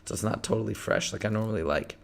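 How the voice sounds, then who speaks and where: Hoarse man tutoring math live. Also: lowish voice, fast. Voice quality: gravelly, strained. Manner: energetic, talks through problems step by step. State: hoarse, losing his voice.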